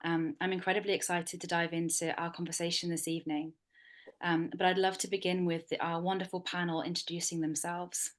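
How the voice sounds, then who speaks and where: A woman hosting and moderating an online panel over a video call, speaking in a soft, measured way with frequent ums. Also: lowish voice, flat delivery.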